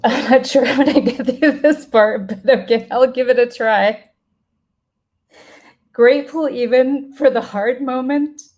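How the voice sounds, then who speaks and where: Slow, solemn woman reading a personal letter. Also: sits high, a little mellow. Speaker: writer reading own letter aloud.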